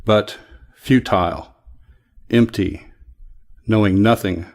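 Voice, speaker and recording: A man reciting a poem with solemn, deliberate phrasing. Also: on the low side, a little mellow. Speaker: poetry reader. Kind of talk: poetry recitation.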